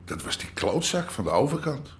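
An actor playing a brash, scheming middle-aged underworld boss in a radio drama. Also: male voice, mid-range voice, very animated, brisk, a little hiss or hum, natural voice. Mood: needling, menacing. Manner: streetwise, bullying, coarse. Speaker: nightclub and brothel boss. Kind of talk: naturalistic radio drama acting.

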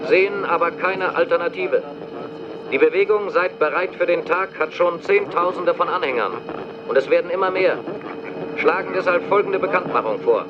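An actor playing an urgent air force officer, sending clipped, official dispatches over a military radio in a radio drama. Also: male voice, sits high, moderate pace. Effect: military radio filter. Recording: dull, noisy radio transmission.

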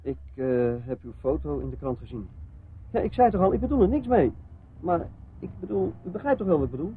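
High-voiced, wheedling petty crook. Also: male voice, sing-song, a little fast, slightly rough, exaggerated voice. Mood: defensive, sly. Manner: wheedling, evasive, wisecracking, stammering self-justification. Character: shifty male petty crook, wheedling and cheeky, protesting innocence. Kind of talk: radio drama acting. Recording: muffled phone-like old radio play, noisy.

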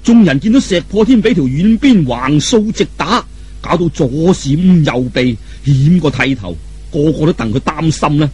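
Male Cantonese audiobook storyteller: rough husky voice, wide swooping intonation, dramatic colloquial delivery. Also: fairly high pitch, expressive, moderate pace, a little mellow. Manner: theatrical, colloquial, with vivid sound effects and exclamations.

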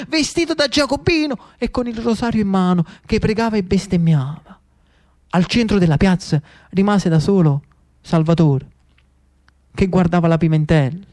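A man's voice: sits high, a little mellow, no room sound, studio quality. A man with a Neapolitan accent narrating as an actor-storyteller, theatrical and scripted, with emphatic dramatic phrasing.

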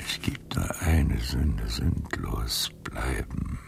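An actor playing a frail, wry old man in a radio drama, muttering to himself in a gravelly, breathy whisper as he reads aloud. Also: a bass voice, animated, very slow, slight background noise, heavily acted. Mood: dry, ironic. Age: older.

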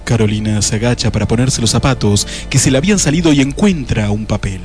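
A man's voice: medium pitch, quick pace, faint music behind. A man narrating a scripted comic radio drama in a mock-dramatic manner.

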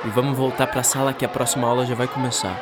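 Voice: mid-range voice, little pitch movement, fast, over a noisy crowd, lightly acted. An actor playing a scatterbrained, easygoing, teasing schoolboy in a radio drama. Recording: music and busy street ambience behind.

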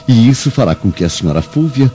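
An actor playing a scheming, obliging guardian in a radio drama, sly and deferential in manner. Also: male voice, medium pitch, big pitch swings, quick pace, warm tone, close to an everyday voice. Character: scheming, obliging male guardian in ancient Jerusalem. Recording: muffled audio with faint music.

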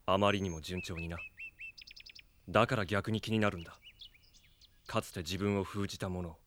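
Solemn swordsman, radio drama, deepish quiet voice, measured and grave. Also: steady tone, a little hiss or hum. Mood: grave, ominous. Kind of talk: Japanese radio drama acting.

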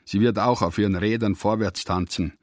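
A man narrating a literary radio drama in measured, deliberate phrases. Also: lowish voice, fairly even intonation, darkish tone, no echo, studio quality.

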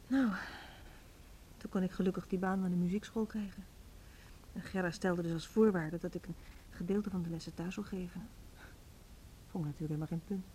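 An actress playing an anxious, troubled music teacher in a radio play, confiding in a halting, subdued way. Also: female voice, on the low side, warm tone, lightly acted. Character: anxious, confessional woman music teacher with drinking problem. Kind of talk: Dutch radio play monologue. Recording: noisy old radio drama recording.

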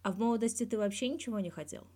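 A woman's voice: mid-range voice, racing, mellow, pitched above the performer's own voice, natural voice. A woman voicing a persistent male journalist in an audiobook, putting direct, pressing questions. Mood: curious, direct. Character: persistent, probing adult male journalist interviewer.

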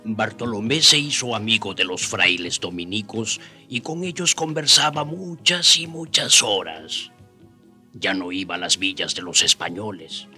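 Low, bright-voiced island storyteller. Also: male voice, a little slow, soft background music. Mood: reflective narration. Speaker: narrator. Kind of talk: dramatised narration for radio drama.

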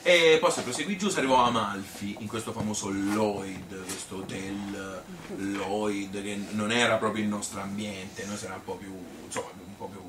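A chatty working-class Tuscan woman, played by a man in a low voice, reminisces about married life in a rambling, colloquial stage monologue. The delivery halts and repeats like spontaneous storytelling. It is recorded from a distance in an echoey room with clear background noise. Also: fairly even intonation, natural voice. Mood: reminiscing. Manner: rambling, colloquial, halting with repetitions. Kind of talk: spontaneous-sounding theatrical monologue. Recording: roomy, distant, noisy live recording.

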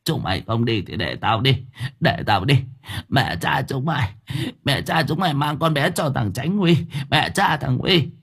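A man's voice: high voice, huge pitch swings, moderate pace, pauses often, a bit bright, breathy edge, voice pushed up, full character voice. A man voicing a ravenous, possessed old grandmother who screeches curses and snarling threats at her family. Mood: furious.

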